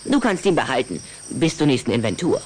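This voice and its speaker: Frechen kleinen Vampirjungen, sehr lebhaft. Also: female voice, very deep voice, wildly swooping pitch, fairly quick, darkish tone, slight background noise, full character voice. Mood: bossy, teasing. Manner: teasing, commanding, exclamatory. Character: cheeky, bossy little boy vampire, teasing and boastful. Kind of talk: German radio play acting for children.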